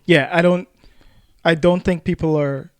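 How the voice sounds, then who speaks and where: A man with a high voice talks as a podcast co-host in a casual discussion. He often doubles back on phrases while making his argument. The sound is dry, with hardly any room on it. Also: fairly even intonation, unhurried, smooth, no room sound.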